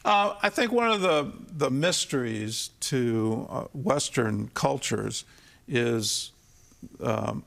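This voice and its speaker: A man hosting an interview podcast, asking questions in a hesitant, halting way. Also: mid-range voice, animated, gravelly, a bit bright. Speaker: host.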